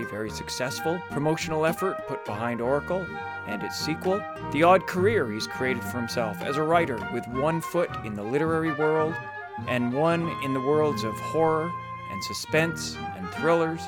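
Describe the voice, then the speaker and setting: A man speaking as the host of a podcast about writers and books. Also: medium pitch. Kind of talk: scripted podcast intro and interview chat.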